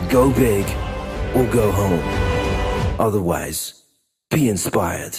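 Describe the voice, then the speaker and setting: A man's voice: fairly low pitch, expressive, slow pace, vocal fry. A man announcing a TV promo voice-over in a punchy, slogan-like delivery. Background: loud music.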